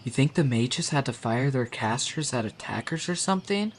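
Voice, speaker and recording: A man's voice: mid-range voice, animated, normal speed, a little hiss or hum, close to an everyday voice. A man playing an eager, hot-headed young would-be hero in a fantasy audio drama.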